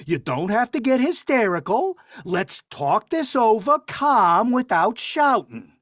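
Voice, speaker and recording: A woman's voice: on the high side, very animated, no echo, clean studio sound, heavily acted. A woman playing an exasperated, blustering middle-aged father in a vintage-style radio play. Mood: pleading, stern. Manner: blustery, quick to give up in frustration.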